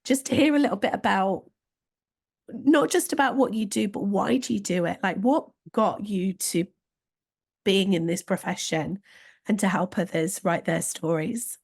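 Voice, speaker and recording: A woman hosts an interview podcast, her voice a little squeaky today. There is a strong gravelly fry running through her friendly, measured speech. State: slightly squeaky voice. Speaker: podcast host. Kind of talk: podcast hosting.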